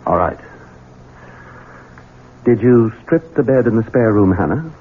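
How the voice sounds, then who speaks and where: Deep-voiced, scheming sardonic heir. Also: male voice, lively intonation, measured pace. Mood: coolly scheming. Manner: sardonic, domineering, softly menacing. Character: cold scheming son, master of a country estate. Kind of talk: 1970s radio drama acting. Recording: dull, noisy 1970s radio broadcast.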